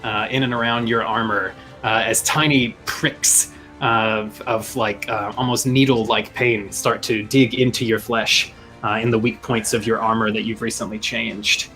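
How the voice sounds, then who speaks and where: Low-voiced male game master. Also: flat delivery, measured pace, pushed and bright. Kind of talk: improvised tabletop game narration. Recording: music behind the voice. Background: music.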